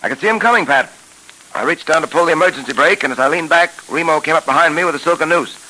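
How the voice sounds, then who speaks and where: An actor playing a tough, alert police detective in a brisk, commanding 1930s radio serial style. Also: male voice, fairly high pitch, pushed and bright, low-quality recording. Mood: matter-of-fact. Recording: hissy 1930s radio broadcast recording.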